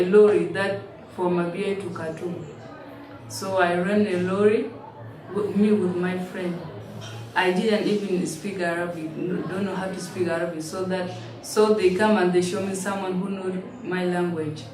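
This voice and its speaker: A woman, telling her story in halting, simple English with frequent restarts. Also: lowish voice, fairly even intonation. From South Sudan. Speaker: interviewee, refugee. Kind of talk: personal testimony in an interview. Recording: roomy, distant mic, noisy ambience.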